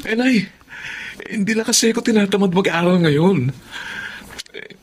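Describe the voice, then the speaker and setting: A man's voice: sits high, moderate pace, breathy edge. An actor playing an eager young student father in a radio drama, speaking as a respectful, boyish, earnest son. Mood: earnest, cheerful. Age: young adult. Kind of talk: Tagalog radio drama acting.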